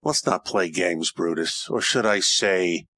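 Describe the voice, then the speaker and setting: A man playing a hardboiled, wisecracking detective of ancient Rome in an old-time radio parody, with deadpan tough-guy delivery. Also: deep voice, a little slow, dry recording, full character voice.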